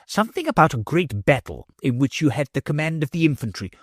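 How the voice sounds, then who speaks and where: A man's voice: a little high, sing-song, quick pace, dry recording, studio quality, pitched above the performer's own voice. A man reading an audiobook, voicing a crafty official in a musing, soothing, sly manner. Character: smooth crafty middle-aged male official.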